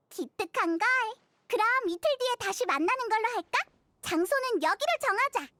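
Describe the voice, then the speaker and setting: A woman voicing a tiny, chatty, blunt childlike fairy companion with a squeaky voice in an anime game. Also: normal speed, dry recording, studio quality, heavily acted. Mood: encouraging. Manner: expressive, grumbling, blunt. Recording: music faintly behind the voice.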